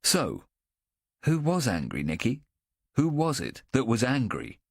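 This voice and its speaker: A man voicing a calm adult policeman in an audiobook, questioning gently and steadily. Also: medium pitch, a little slow, vocal fry, no room sound, studio quality, pitched above the performer's own voice, full character voice. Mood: gentle coaxing.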